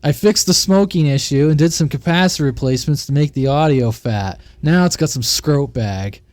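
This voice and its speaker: A man's voice: a little high, normal speed, darkish tone, dry recording, studio quality, lightly acted. A man voicing a laid-back, unbothered CB radio buddy in a casual, folksy story. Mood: proud.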